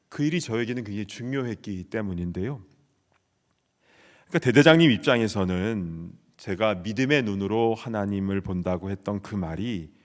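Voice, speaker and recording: A man in his forties from South Korea, preaching a sermon as pastor and telling a personal story earnestly. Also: fairly low pitch. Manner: earnest storytelling, shouting out quoted soldier replies. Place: church sanctuary. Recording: long hall reverb.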